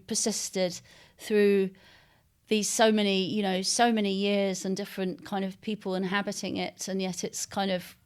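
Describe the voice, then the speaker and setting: A woman's voice: mid-range voice, smooth. A woman from East London with an English accent, answering interview questions as an author in a discursive, hesitant, conversational way. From East London, England.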